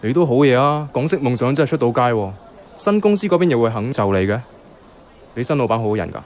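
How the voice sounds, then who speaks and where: Actor as quick, animated young Hong Kong man. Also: mid-range voice, natural voice. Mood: incredulous. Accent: Hong Kong Cantonese. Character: pragmatic young man, hesitant in love, dryly exasperated. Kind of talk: radio drama dialogue with some narration. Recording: music under the voice.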